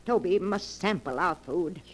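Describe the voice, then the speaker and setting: An actress playing a resolute, suspicious elderly aunt in a 1940s radio mystery, speaking firmly and urgently. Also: female voice, fairly low pitch, animated, measured pace. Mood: grim, urgent. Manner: firm, dramatic, urgent. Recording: noisy 1940s radio broadcast recording.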